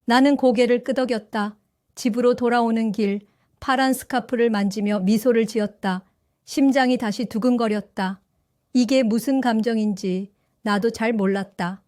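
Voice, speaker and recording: A woman in her seventies narrating her own story for an audio drama, in a gentle, reflective and wistful way. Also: a little high, fairly even intonation, normal speed, dry recording, studio quality. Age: 70s. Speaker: first-person narrator.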